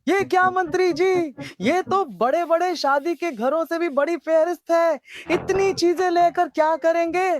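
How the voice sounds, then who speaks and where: An actor voicing a busy, flustered, wary village shopkeeper in an animated comedy. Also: extremely high pitch, a little slow. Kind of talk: Hindi animated comedy dubbing.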